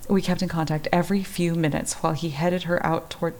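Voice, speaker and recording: Woman acting a creaky-voiced, genteel radio-drama widow. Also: fairly low pitch, steady tone, a little mellow, slight background noise, lightly acted. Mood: nostalgic, composed. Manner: dramatic, halting. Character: genteel, anguished, subtly calculating widow in detective drama.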